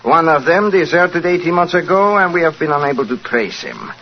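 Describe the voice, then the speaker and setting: French army colonel, French accent, slightly high voice, formal, deliberate, grave, radio play, muffled recording. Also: male voice, very animated. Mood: matter-of-fact. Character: courteous, grave French Foreign Legion colonel. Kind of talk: 1940s radio acting. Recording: dull, noisy old radio broadcast.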